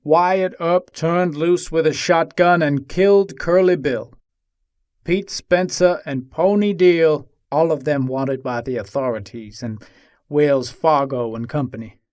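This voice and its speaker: Composed Old West gunfighter played by a man, higher-pitched voice. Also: a little slow, darkish tone, dry recording, studio quality, close to an everyday voice. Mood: grave, recounting. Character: jailed Old West gunfighter giving his own account, composed. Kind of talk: dramatic reading of an 1882 newspaper interview.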